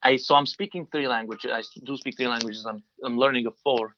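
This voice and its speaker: Man, 20s, from Libya, native Arabic speaker, bright voice, halting speech, dull recording. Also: medium pitch, steady tone, a little hiss or hum. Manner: halting, many restarts and fillers. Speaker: guest, design engineer. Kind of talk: casual interview answers.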